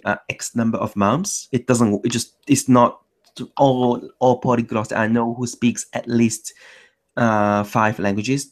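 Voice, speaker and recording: A man hosting an online language meetup in a loose chat, restarting his sentences often. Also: medium pitch. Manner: loose, self-correcting, many restarts. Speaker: meetup host. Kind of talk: casual chat.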